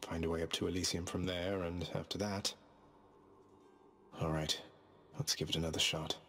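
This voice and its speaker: A wry young prince in a game, real low voice, understated and cocky. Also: male voice, level tone, normal speed, bright, forceful tone. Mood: determined, dry. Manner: dry, understated, sardonic. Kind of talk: video game character acting.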